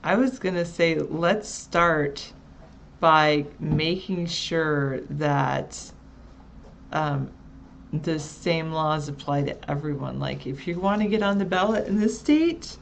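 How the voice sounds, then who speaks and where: A woman co-hosting a casual live chat show, relaxed and chatty, answering viewers by their usernames. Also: sits low, takes it slowly, creaky, a little hiss or hum.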